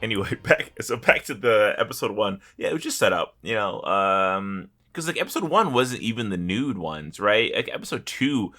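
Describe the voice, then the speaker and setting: A man with a low voice and a forceful, bright edge chats casually as a podcast co-host. His intonation swings widely as he banters. He is jokey and profane, tossing in mock quotes. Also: very animated, normal speed, hardly any pauses, faint music behind.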